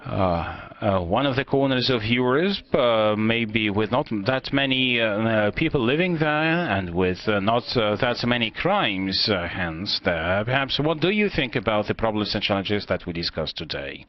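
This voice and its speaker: A man chairs a conference panel in a fairly low voice, stopping often and filling pauses as he goes. The recording is dull and lo-fi. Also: lively intonation. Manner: halting, with many hesitations. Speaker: panel moderator.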